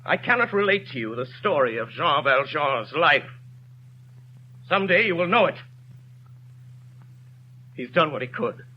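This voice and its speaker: A man's voice: mid-range voice, natural voice. A man playing a grave, passionate mayor. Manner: impassioned courtroom oratory. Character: mayor hiding his past as a convict, man, middle-aged, grave and passionate. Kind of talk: 1930s radio drama acting. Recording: dull lo-fi 1930s radio broadcast, faint hiss.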